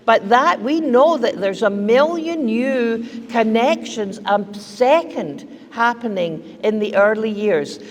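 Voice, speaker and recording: An older Scottish woman speaks slowly and deliberately at a podium microphone, joking and emphatic with her points. Her voice rings out with a long reverb, as in a large hall. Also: a little high. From Scotland. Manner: lively, joking, emphatic, gesturing. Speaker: keynote speaker. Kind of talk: humorous conference keynote. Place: large hall with seated audience. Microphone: podium mic on stand.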